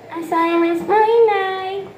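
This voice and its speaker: A woman plays a small puppet-show daughter, polite, apologetic and evasive. Her voice is extremely high, with clear room echo around it. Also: steady tone, slow pace, a little mellow, a little hiss or hum. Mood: apologetic, stalling. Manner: placating, apologetic. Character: eight-year-old girl, drowsy, evasive but polite daughter. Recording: echoey room.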